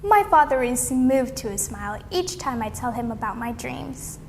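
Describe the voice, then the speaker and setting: A young woman reading a storybook aloud expressively, with deliberate, dramatic phrasing. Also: on the high side, animated, a little mellow, in a large hall, slight background noise. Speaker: read-aloud competition contestant.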